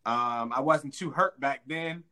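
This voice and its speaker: A man hosting a podcast in casual chat, relaxed and chatty with quick laughs. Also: medium pitch. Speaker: podcast host.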